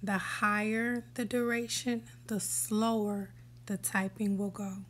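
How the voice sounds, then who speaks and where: A woman hosting a screen-recorded app tutorial, giving relaxed step-by-step instructions that sometimes drop to a whisper. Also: medium pitch, takes it slowly. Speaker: tutorial host. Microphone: voice-over for a screen recording.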